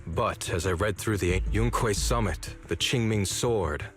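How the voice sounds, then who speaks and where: Man voicing a low, determined swordsman brother. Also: a little slow, close to an everyday voice. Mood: measured, grave. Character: determined, self-sacrificing swordsman, protective older brother. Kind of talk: video game voice acting. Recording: game music behind the voice.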